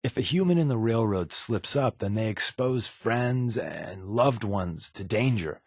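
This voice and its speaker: An actor with an American accent playing a wry, easygoing undercover resistance spy in a video game, talking in a conversational, sardonic way. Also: male voice, fairly low pitch, expressive, unhurried, husky, dry recording, studio quality, heavily acted. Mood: candid. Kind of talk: video game character voice lines.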